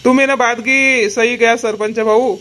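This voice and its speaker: A man's voice: very high-pitched, steady tone, normal speed, sharp tone. A man voicing a modest, worrying village farmer and father in a cartoon comedy, rambling and folksy, with a Powari accent.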